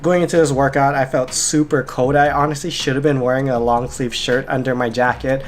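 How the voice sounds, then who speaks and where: A man's voice: medium pitch, flat delivery, brisk, slight background noise. A young man vlogging about dieting and workouts in a casual, rambling way, slightly congested and nasal from a cold, with lots of "like" fillers. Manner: rambling, filler-heavy with frequent "like". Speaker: fitness vlogger and coach. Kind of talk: casual vlog chat.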